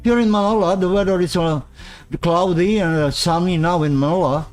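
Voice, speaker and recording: Guy putting on a high loud fake weather reporter voice, chirpy and overdone. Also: steady tone, a little fast, soft background music, lightly acted. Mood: mocking.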